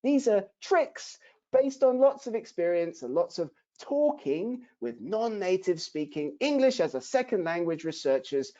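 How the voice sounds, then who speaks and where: A man presents a writing webinar with an animated, rising and falling delivery. His voice is soft and mellow rather than bright, and he leans into emphatic rhetorical questions. The recording is dull, like lo-fi online-seminar audio. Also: high-pitched, measured pace. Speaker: academic writing instructor. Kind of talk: webinar lesson.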